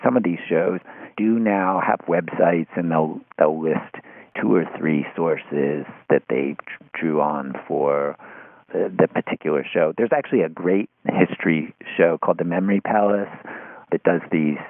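A man speaking as an interview guest in analytical conversation, halting and circling back over his phrases. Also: deep voice, fairly even intonation, dry recording. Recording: narrow phone-like line.

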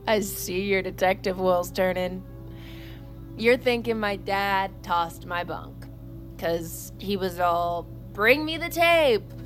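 An actress playing a defiant, hot-tempered young American army recruit in an audio drama, with a blunt, sarcastic, profane way of talking. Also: female voice, mid-range voice, lively intonation, slow, soft background music. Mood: wry. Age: young adult. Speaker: lead character and narrator.

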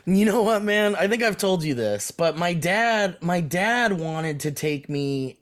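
Male co-host, playful, laughs often, bouncy higher voice, casual stream. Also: a bit bright. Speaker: podcast co-host. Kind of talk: casual livestream chat. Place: home in Los Angeles valley.

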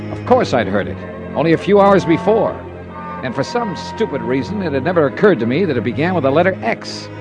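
Hard-boiled radio detective man. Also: medium pitch. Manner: terse, hard-boiled, matter-of-fact. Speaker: detective narrator and lead. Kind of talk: 1950s radio drama acting and narration. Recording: old radio broadcast with music.

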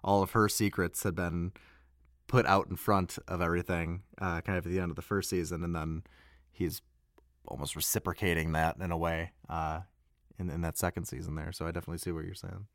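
A man's voice: sits low, little pitch movement, brisk, dry recording, studio quality. A man chatting informally as a guest in a casual cast interview. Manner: informal, conversational. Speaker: voice actor, guest.

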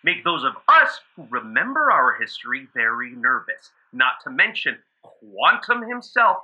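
An actor playing an earnest, insistent author debating as a phone-in guest on a radio news panel. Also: male voice, mid-range voice, sing-song, unhurried, husky. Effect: phone line. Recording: dull, muffled simulated phone line.

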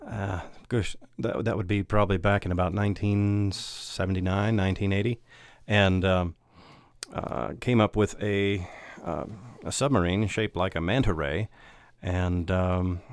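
Middle-aged man, lowish pitch, dry sound, halting casual reminiscence. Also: no room sound. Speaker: guest writer and voice actor.

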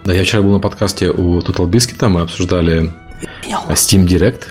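A man from Ukraine co-hosting a podcast, explaining his points in long, rapid run-on stretches of casual talk. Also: low-pitched, steady tone, darkish tone, faint music behind. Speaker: podcast co-host.

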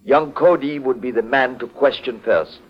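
An actor playing a polite, anxious foreign exporter in a 1940s radio detective drama, speaking formally and hesitantly. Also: male voice, mid-range voice, normal speed, natural voice. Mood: guarded, grateful. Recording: dull old 1940s radio broadcast.

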